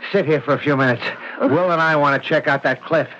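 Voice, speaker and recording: An actor playing a protective, increasingly alarmed husband in an old-time radio drama, urgent and pleading. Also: male voice, fairly high pitch, fairly quick, sharp tone. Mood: steady, concerned. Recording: very noisy, dull old radio recording.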